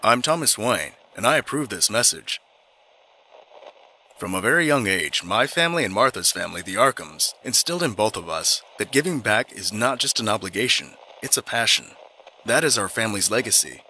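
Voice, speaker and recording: A man's voice: lowish voice, expressive, moderate pace, bright, forceful tone, slight background noise, exaggerated voice, poor audio. A man playing an earnest, dignified politician giving a formal scripted campaign message.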